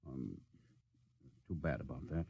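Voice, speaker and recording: A man's voice: rumbling low, lively intonation, measured pace, gravelly, warm tone, husky, heavily acted. An American man playing a stern, short-fused rocket ship captain in a clipped, commanding 1950s radio drama style. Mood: grave, measured.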